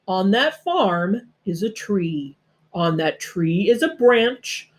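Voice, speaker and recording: A woman voicing a big boastful male bear in a storybook, bragging slowly and emphatically with a bit of growl. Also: medium pitch, big pitch swings. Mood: proud, drawn-out.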